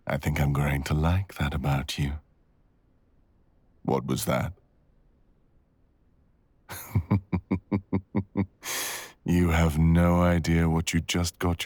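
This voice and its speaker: A man playing a cruel, seductive dragon villain in intimate ASMR roleplay, with a gravelly, husky growl and a slow, teasing drawl. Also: a bass voice, a little mellow, no echo, full character voice.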